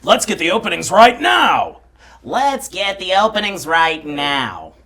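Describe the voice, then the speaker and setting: A man's voice: high voice, sing-song, measured pace, vocal fry, sharp tone, pitched above the performer's own voice, full character voice. A man who works as a voice actor, putting on varied theatrical character voices in a demonstration. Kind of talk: voice acting demonstration.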